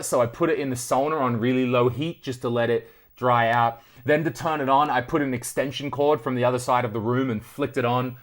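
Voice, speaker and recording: Casual Australian man telling a story. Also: mid-range voice. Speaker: retro tech video host.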